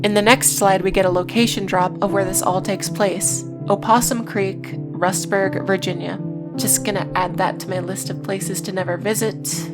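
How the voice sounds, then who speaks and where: A woman's voice: mid-range voice, fairly even intonation, normal speed. Wry woman narrating over music. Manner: wry, sardonic asides. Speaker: video essay host. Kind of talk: scripted narration with casual asides.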